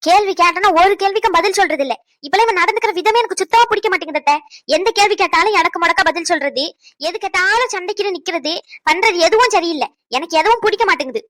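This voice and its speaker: A woman's voice: very high-pitched, quick pace, a bit bright, no echo, pitched above the performer's own voice. A woman voicing a middle-aged mother who nags and lectures sharply in a family skit. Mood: complaining.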